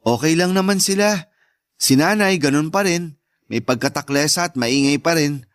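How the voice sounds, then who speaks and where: Casting: man as young Filipino little brother in radio drama, notably high-pitched for a male, earnest and grateful, dry studio recording. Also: normal speed, no echo, close to an everyday voice. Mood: light, familial. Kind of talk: Tagalog radio drama acting.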